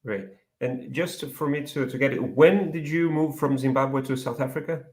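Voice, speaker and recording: A man hosting a live online interview, asking his questions in a halting way with many restarts. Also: mid-range voice, darkish tone. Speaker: interview host. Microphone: video call.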